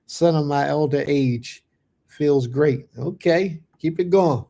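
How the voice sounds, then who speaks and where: A man in his late thirties with a gravelly, mellow voice, hosting a faith livestream. He chats casually with his viewers, reading their comments aloud and answering each one. He sprinkles Hebrew blessings through his talk. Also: on the high side, takes it slowly. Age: late 30s. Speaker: host of a religious fellowship livestream.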